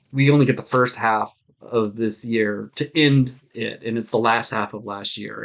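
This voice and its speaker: A man co-hosting a podcast in casual, jokey chat about films and TV, often acting out mock quotes. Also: mid-range voice. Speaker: podcast host.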